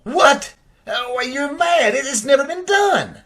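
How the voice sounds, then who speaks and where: Man acting an excitable, swooping, indignant doctor. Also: extremely high pitch, unhurried, a crowd in the background. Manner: excitable, sputtering, incredulous. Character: alarmed, indignant male surgeon cousin under pressure. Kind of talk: old-time radio drama acting.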